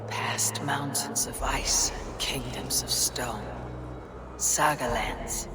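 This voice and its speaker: An actress playing a guarded, brooding Viking warrior woman in a video game, with a husky, breathy voice. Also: rumbling low, slow, whispered. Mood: brooding, hushed. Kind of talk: video game voice acting, dramatic inner narration. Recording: noisy water and outdoor ambience.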